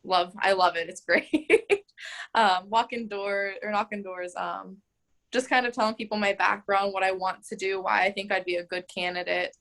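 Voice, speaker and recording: A woman's voice: medium pitch, steady tone, moderate pace, fairly bright tone. A woman in her twenties, a mayoral candidate, answering interview questions in a chatty way full of "like" and "um.". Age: 20s. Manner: chatty, frequent "like" and "um", laughs easily.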